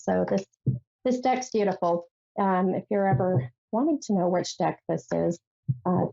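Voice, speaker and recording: A woman hosts a tarot call-in show, rambling warmly with lots of ums and uhs. Her voice is low, mellow and gravelly, with a nasal sound from a head cold. The recording is dull and lo-fi. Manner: chatty, rambling with many fillers. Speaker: psychic radio host. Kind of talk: casual tarot call-in talk.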